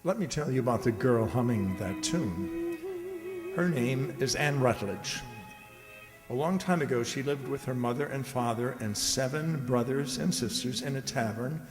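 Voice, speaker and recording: A man's voice: medium pitch, darkish tone. Male actor as radio play narrator, earnest, wistful, emphatic short phrases, reverberant hall. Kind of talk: scripted radio drama narration.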